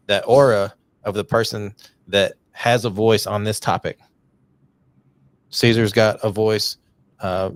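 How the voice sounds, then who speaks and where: A man's voice: fairly low pitch, dry recording. A middle-aged man hosting a podcast, talking in an earnest, encouraging, rambling way. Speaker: podcast host. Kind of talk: casual podcast conversation.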